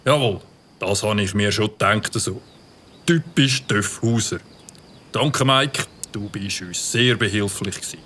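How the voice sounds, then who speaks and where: A man's voice: lowish voice, slow pace, sharp tone, slight background noise. A man with a Swiss German accent voicing a stern, officious village policeman in a children's audio drama. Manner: officious, commanding.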